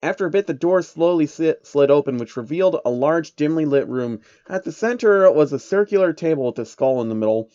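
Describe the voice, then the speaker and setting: A man's voice: a little high, animated, fairly quick, few pauses, dark tone, no echo, lo-fi sound. A man narrating a scripted story in a dramatic storytelling style.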